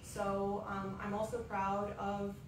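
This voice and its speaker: A woman's voice: medium pitch, monotone, slow pace. A woman in her thirties, a city commissioner giving an earnest opening statement at a candidate forum with frequent ums. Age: 30s. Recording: echoey hall, far from mic, noisy.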